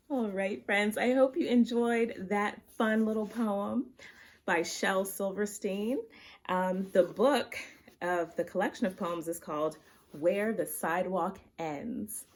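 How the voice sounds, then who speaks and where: A woman's voice: medium pitch, takes it slowly. A woman teacher reading poetry aloud expressively for children.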